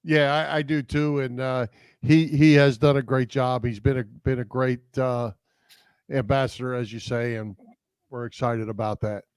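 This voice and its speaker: Male sports podcast co-host, average pitch, informal and slightly halting delivery, dry close recording. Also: steady tone, darkish tone, clear, resonant tone, no room sound. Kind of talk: casual sports chat.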